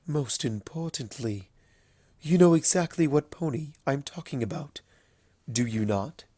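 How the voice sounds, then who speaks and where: A regal, teasing elder mare princess from a storybook , acted by a man. The voice is lifted high and takes its time, savoring words with a knowing, playful affection. The sound is close and dry. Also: animated, mellow, breathy edge, no echo. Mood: tender, knowing. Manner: drawn-out, playful, knowing delivery. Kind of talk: fan fiction story reading.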